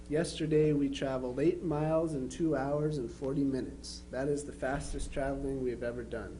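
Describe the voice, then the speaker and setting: Man reading as mellow-voiced young soldier son, noisy recording. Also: a little high, lightly acted. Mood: matter-of-fact. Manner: plain, measured reading with occasional stumbles. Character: young Civil War soldier son, plain-spoken and dutiful. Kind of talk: dramatized reading of historical letters. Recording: noisy live recording with hum.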